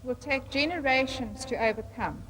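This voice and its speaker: A woman's voice: high-pitched, normal speed, fairly bright tone, slight background noise, low-quality recording. A woman delivering a formal prepared speech.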